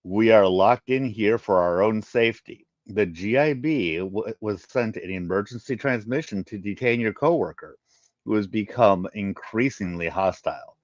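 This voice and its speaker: Man playing a commanding alien agent, low voice. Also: normal speed, lightly acted. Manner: loud, formal, commanding. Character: calm, authoritative male alien security agent. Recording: muffled, roomy home recording.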